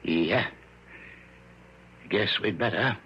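An old fishing captain in a vintage radio play, low in pitch and heavy with remorse. His speech is rustic and halting, on a muffled radio recording. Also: very noisy. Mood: resigned. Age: older. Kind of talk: 1960s radio drama acting.

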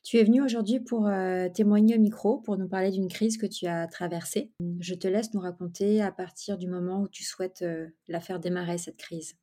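A woman hosting a podcast, asking a guest gentle, inviting questions. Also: medium pitch, steady tone, normal speed, hardly any pauses. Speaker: podcast host. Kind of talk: interview questions.

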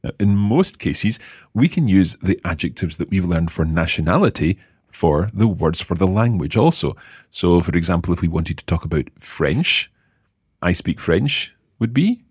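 A man's voice: low-pitched, slightly rough, dry recording, clean studio sound. A man hosting a language lesson, explaining phrases patiently and quizzing his co-hosts. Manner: patient, lively, repeats phrases slowly. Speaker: language teacher and host.

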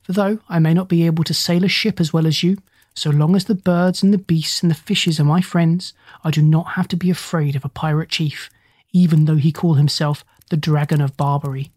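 A woman voicing a kindly but stern male animal doctor in a children's storybook, speaking in measured, polite commands. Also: low voice, steady tone, darkish tone, dry recording, clean studio sound. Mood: firm.

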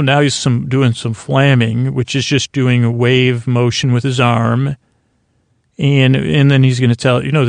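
A man with a creaky voice hosting a sleep podcast, talking in a meandering, halting, whimsical monologue that circles and over-describes. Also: mid-range voice, no echo. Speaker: podcast host. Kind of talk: rambling bedtime monologue to help listeners sleep.

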